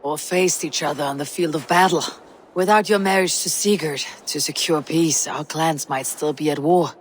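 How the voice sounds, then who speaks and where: An actress playing a stoic, loyal female Viking raider with a measured, plain-spoken manner in a video game. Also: deep voice, a little hiss or hum, natural voice. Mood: admiring. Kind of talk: video game cutscene acting.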